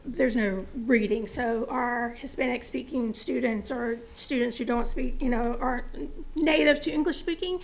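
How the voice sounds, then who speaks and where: A woman presenting to a school board, explaining with fillers, her voice going hoarse. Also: on the high side, moderate pace, hardly any pauses, poor audio. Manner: informal, with fillers and explanatory asides. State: losing her voice.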